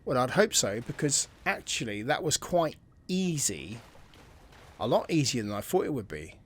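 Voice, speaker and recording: A man's voice: medium pitch, very animated, normal speed, a bit bright, husky. A man giving casual let's-play commentary, musing and thinking aloud, sometimes dropping to a near-whisper. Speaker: gameplay commentator.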